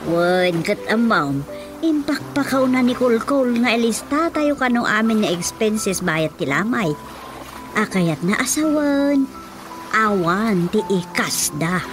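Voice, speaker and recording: A woman's voice: mid-range voice, animated, takes it slowly. An actress playing a chatty, laughing village mother with an Ilocano accent in a radio drama. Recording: music under the voice.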